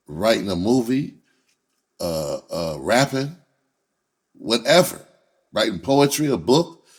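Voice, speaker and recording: A man talking casually and candidly in a blunt, unfiltered, profane manner. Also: on the low side. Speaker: entertainer, rapper and writer.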